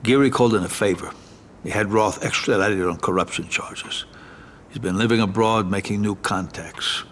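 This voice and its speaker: A man's voice: on the low side, moderate pace, fairly bright tone, a little hiss or hum, natural voice. A man playing a shrewd, level-headed mafia family adviser in a video game cutscene, speaking in a matter-of-fact, advisory way. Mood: measured warning. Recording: music under the voice.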